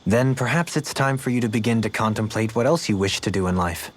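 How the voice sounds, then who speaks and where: Actor as a courtly, low-voiced nobleman. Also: fairly quick, natural voice. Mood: encouraging, thoughtful. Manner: formal, eloquent, gentle. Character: cultured, courtly nobleman, gracious, reflective, music-loving. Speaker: video game party member. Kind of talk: English-language video game character dialogue.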